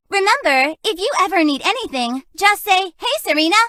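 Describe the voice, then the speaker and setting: A female virtual assistant from a video game. The voice is extremely high and bright, recorded very dry. It is perky and salesy, with an unsettling clinginess. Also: lively intonation, measured pace, no room sound, full character voice. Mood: perky, creepy. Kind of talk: video game character acting.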